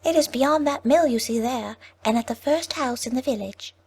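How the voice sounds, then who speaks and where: A woman voicing an innocent little country girl in a storybook reading, high and sing-song. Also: normal speed, a little mellow, slightly rough.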